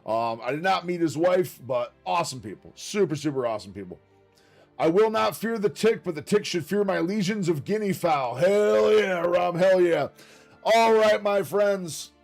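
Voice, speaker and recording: A man hosting a casual livestream, reading notes aloud with chatty asides, his voice slightly raspy from a long stream. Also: sits high, moderate pace, faint music behind. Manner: chatty and upbeat, quiet asides while reading. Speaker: livestream host.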